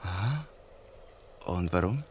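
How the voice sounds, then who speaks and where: A man's voice: low voice, expressive, takes it slowly, darkish tone, slightly rough, full character voice. An actor playing a wary, defiant orphan boy in a Swiss German radio play.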